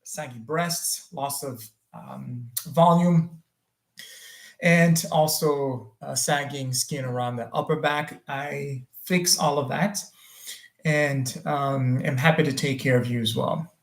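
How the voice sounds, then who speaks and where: A man's voice: medium pitch, steady tone, takes it slowly, gravelly. A man whose first language is French, a surgeon hosting a casual livestream Q&A, talking loosely with many ums and restarts. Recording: roomy echo.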